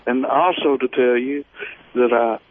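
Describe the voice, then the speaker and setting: A man's voice: mid-range voice, a little slow. A man phoning in to a show, chatting casually in a crude, brash manner. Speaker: caller. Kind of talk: casual phone-in chat. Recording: tinny phone line.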